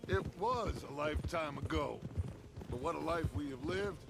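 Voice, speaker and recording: A charismatic outlaw gang leader, grandiose and persuasive, with a fairly high voice. Outdoor noise and horses are clearly heard around him. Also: male voice, animated, moderate pace.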